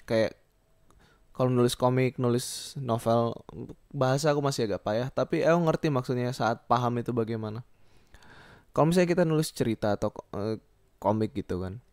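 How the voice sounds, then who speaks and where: A young man talks casually alone as a podcast host, rambling and halting as he thinks aloud and repeats himself. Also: mid-range voice, smooth, no echo. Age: young adult. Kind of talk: casual solo podcast monologue.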